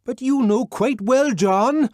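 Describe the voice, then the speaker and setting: A man voicing an anxious, whining man who shouts pleas through a locked door, near tears. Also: very high voice, slow pace, no echo, clean studio sound, voice pushed up. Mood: pleading wail.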